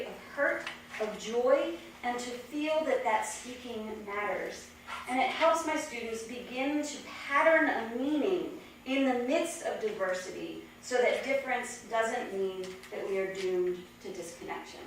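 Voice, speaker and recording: A woman's voice: a little high, animated, a little slow, background noise. A woman gives an earnest, reflective academic talk at a conference, speaking about her teaching. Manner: reflective, earnest delivery with list-like cadence. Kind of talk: academic presentation. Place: large echoey lecture hall. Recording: echoey hall, distant mic.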